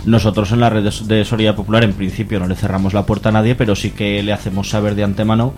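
A man's voice: lowish voice, level tone, rapid-fire, a little mellow, soft background music. A man with an accent from Spain explaining things as an activist guest in a radio interview, in a hesitant, careful way. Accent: Spanish from Spain.

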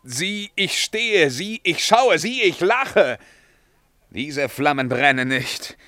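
Tormented emperor, German verse radio drama, slow solemn declamation, hushed to crying out, dry studio sound. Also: male voice, fairly high pitch, animated, no echo, exaggerated voice. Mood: defiant, then horrified. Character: tormented Byzantine emperor breaking free of a witch's spell.

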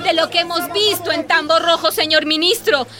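A woman's voice: very high-pitched, fairly even intonation, normal speed, a crowd in the background. An actress playing a blunt, indignant village woman in a radio drama. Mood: firm. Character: outspoken female village woman.

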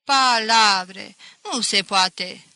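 A woman's voice: medium pitch, sharp tone, no room sound. A woman voicing a naive, skeptical young outsider in an audiobook, questioning and incredulous. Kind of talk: audiobook character acting.

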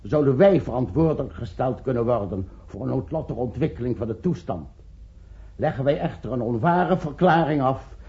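A formal professor in an old radio play, raspy mellow voice, measured, kinda muffled. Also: male voice, medium pitch. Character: earnest, diplomatic professor pleading with scientific colleagues. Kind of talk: Dutch radio play acting. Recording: dull, lo-fi old radio recording.

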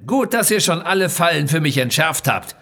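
A man's voice: on the high side, animated, normal speed, bright, forceful tone, pitched above the performer's own voice, full character voice. A man voicing a greedy, gloating villain in an audio adventure, taunting and commanding.

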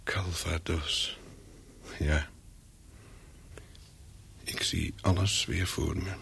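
An actor playing a wary, secretive English hotel guest in a radio play, telling his story in short, measured sentences with a husky voice. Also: fairly even intonation, in a whisper, heavily acted. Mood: brooding, reflective. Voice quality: low, husky. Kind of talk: radio play, first-person narration with dialogue.

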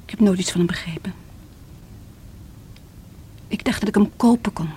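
Breathy, distraught woman in radio drama. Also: mid-range voice, moderate pace. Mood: anguished, hushed. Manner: theatrical, emotional, often breathy and hushed. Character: distraught lovelorn divorced woman confessing bitterly to a police inspector. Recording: noisy vintage radio play recording.

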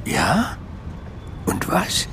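An actor playing an old Chinese man in a radio drama, sorrowful, dignified and halting. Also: on the low side, huge pitch swings, noisy background, heavily acted. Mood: probing. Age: older.

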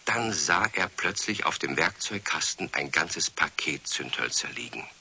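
A man playing the storyteller of a children's radio play, narrating expressively with a husky voice. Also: low-pitched, pushed and bright, exaggerated voice. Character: male storyteller of a children's tale.

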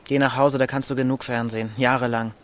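A man's voice: medium pitch, little pitch movement, quick pace, close to an everyday voice. An actor playing a restless, defiant and pragmatic teenage boy on the run in a German radio drama. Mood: defiant, visionary.

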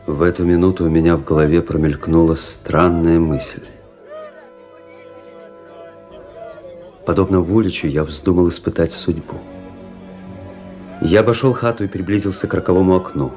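A man playing a composed, daring army officer who narrates his own tale in a measured, grave, literary way for a radio play. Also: low-pitched, a little mellow, lightly acted. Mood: tense, measured. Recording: music behind the voice.

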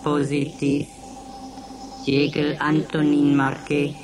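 A woman playing an impassive computer in a radio drama, announcing in a flat, clipped, mechanical way. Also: sits low, slow, a crowd in the background, poor audio. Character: Seneca, an impassive computer running roll-call checks.

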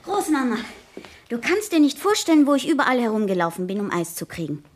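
A woman's voice: a little high, sing-song, fast talker, slight background noise. An actress in a German radio play voicing an exuberant, cheeky, naive sixteen-year-old girl, eager and unguarded. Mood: breathless, boisterous. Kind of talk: German radio drama acting.

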